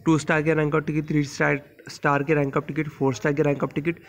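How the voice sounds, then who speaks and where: Host type: hoarse Indian man, fairly high voice, blunt and informal game-tips voice-over. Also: level tone, fast talker. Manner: informal, blunt, mixes English terms into speech. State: hoarse, just woke up, sore throat. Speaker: mobile game channel host. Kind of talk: casual gameplay guide voice-over.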